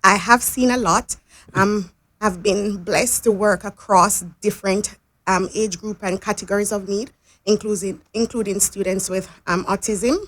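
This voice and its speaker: A woman giving measured, earnest, unscripted answers as a school principal interviewed in a studio. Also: mid-range voice, fairly bright tone.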